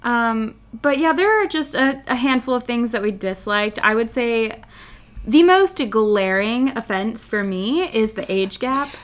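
A woman co-hosting a podcast, chatting casually about a book with lively retelling and frequent fillers. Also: on the high side, a little slow. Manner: animated storytelling, frequent fillers and laughs. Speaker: podcast co-host. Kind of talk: casual book-discussion chat.

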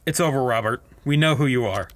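A man's voice: mid-range voice, fairly quick, natural voice. A man playing a commanding cult leader in a radio play, speaking with casual, menacing assurance. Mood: ominous certainty. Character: male cult leader prophet, commanding, preparing for apocalyptic battle. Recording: clear background noise, clicks.

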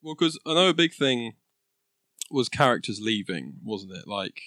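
A man's voice: mid-range voice, very animated, moderate pace, pushed and bright, dry recording, studio quality. A man co-hosting a podcast in easygoing casual chat, often laughing between remarks. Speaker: podcast co-host.

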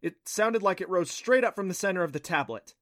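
An excitable young girl in an audiobook adventure, voiced by a man. The voice is pushed up high, breathy and eager, and turns exasperated and defensive easily. The sound is close and dry. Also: brisk, dry recording. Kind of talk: audiobook character voice.

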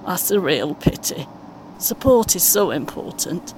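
An actress playing a polite, patient older British grandmother in an audio play, giving short, gently encouraging replies. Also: very animated, measured pace, poor audio. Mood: kindly. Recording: faint vehicle noise behind. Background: vehicle rumble, coach ambience.

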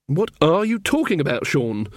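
A bossy young bride, played by a male storyteller, pitched well above his own voice. She is exasperated and sharp-tongued, snapping out sarcasm and orders in a dry, close storybook reading. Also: very animated, moderate pace, no room sound.

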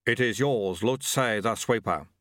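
A stern martial arts master, voiced by a male narrator, who gives commands slowly and formally. His delivery is clipped and measured, with a dry, close sound. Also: lowish voice, a bit bright, no room sound.

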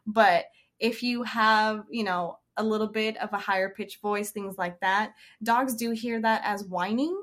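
A woman's voice: medium pitch, unhurried, fairly bright tone. A woman co-hosting a casual podcast chat, explaining dog training in a confident way and laughing now and then.

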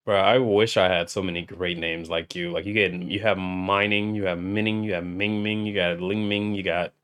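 A man's voice: low voice, fairly even intonation, normal speed, fairly bright tone, smooth. A man hosting a podcast, chatting casually with a guest and laughing often. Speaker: podcast host.

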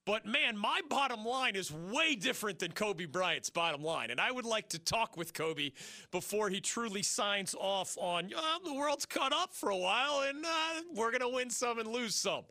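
Sports radio host, male, high bright voice, fast and emphatic, dry studio sound. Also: lively intonation, no room sound. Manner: fast, emphatic, opinionated, rising to rhetorical peaks. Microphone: studio mic.

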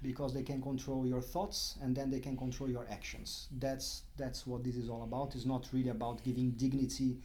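Halting Brazilian-accented man. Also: medium pitch, little pitch movement, warm tone, slight background noise. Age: middle-aged. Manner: halting, many restarts and fillers. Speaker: interview guest. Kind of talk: casual interview talk.

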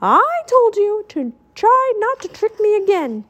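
A woman's voice: high voice, very animated, measured pace, mellow, voice pushed up. A woman voicing a sensible, grumpy, skeptical male cartoon mouse in a children's storybook, with a stern, scolding manner. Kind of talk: children's storybook character acting.

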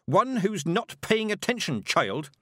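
A man's voice: on the high side, lively intonation, normal speed, fairly bright tone, no room sound, clean studio sound, pitched above the performer's own voice. A man voicing a stern, blunt, proud old country witch woman with emphatic rustic speech, in audiobook style. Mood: angry, forceful. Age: older. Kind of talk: audiobook character acting.

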